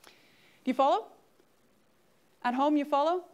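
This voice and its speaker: A woman's voice: sits high, unhurried, a little mellow, muffled recording. A woman in her forties with a Canadian accent, giving an interactive lecture on an auditorium stage and often checking in with the audience. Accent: Canadian English. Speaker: guest speaker at grand rounds.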